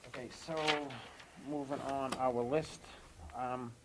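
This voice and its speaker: A man chairing a town board meeting, moving the agenda along and asking brisk procedural questions. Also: medium pitch, steady tone, background noise. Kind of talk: running a public meeting.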